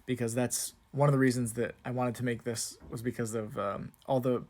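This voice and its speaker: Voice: medium pitch, steady tone, brisk, darkish tone. A channel host chatting casually through a game, upbeat and self-deprecating, full of fillers like "um" and "like". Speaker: channel host and Japanese learner. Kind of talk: casual let's-play narration, reading game text aloud.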